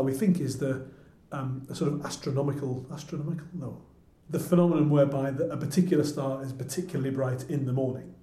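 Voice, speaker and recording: A man's voice: mid-range voice, mellow. A man co-hosting a podcast, explaining musical background in a hesitant, casual way. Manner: hesitant, explanatory, many restarts. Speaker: podcast co-host. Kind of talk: casual chat about choral music. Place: office room. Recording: echoey room.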